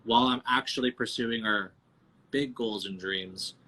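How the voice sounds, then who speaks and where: A man chatting on a video call from his phone as a podcast guest, relaxed, swearing often, calling the host "bro". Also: on the low side, measured pace, slight background noise. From United States. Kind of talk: casual interview chat. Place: home over video call.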